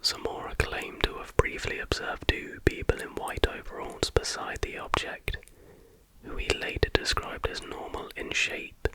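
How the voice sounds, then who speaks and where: A man whispers softly and without hurry in an informal ASMR narration, as the host of the video. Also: unhurried, poor audio.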